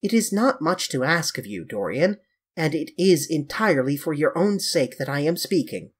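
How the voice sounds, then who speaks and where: A male reader plays a grave, concerned gentleman in a storybook. He speaks in a solemn, measured, pleading way, with a husky edge and expressive pitch movement. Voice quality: deep. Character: grave, earnest, concerned English gentleman painter.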